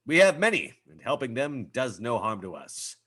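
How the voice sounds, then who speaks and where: A man's voice: medium pitch, sing-song, moderate pace, fairly bright tone, breathy edge. A man playing a proud, gallant, old-fashioned starship captain with formal, theatrical speech in a script table read. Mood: earnest, defiant. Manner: formal, theatrical, clipped orders.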